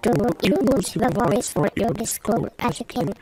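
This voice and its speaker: A woman narrating a software tutorial as an instructor. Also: medium pitch, lively intonation, a little slow, crowd noise. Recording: clearly noisy with clicks.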